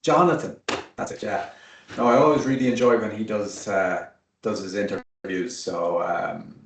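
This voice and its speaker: A man's voice: lowish voice, steady tone, normal speed, distant mic. A man hosting an online interview in a casual, chatty way, joking at his own expense. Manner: chatty, jokey and self-deprecating. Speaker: host of an online webinar. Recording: roomy sound from away from the mic.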